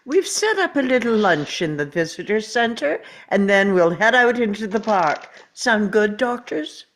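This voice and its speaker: A woman reads the part of a proud, genial park founder in a drama read-through. The delivery is slow and animated, like a showman reassuring guests. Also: mid-range voice. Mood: inviting. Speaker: amateur actor at a table read. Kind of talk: script read-through acting.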